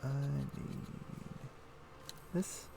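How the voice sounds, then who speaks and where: A man's voice: mid-range voice, fairly even intonation, drawn-out words, gravelly, background noise. A man hosting a casual gaming livestream, rambling and thinking aloud with mild, old-fashioned turns of phrase. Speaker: livestream host. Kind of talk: casual gaming stream chat.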